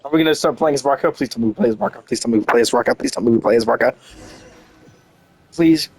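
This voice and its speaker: A man's voice: medium pitch, quick pace, husky. A young man giving casual, rambling game commentary with enthusiasm, often repeating himself. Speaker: game streamer.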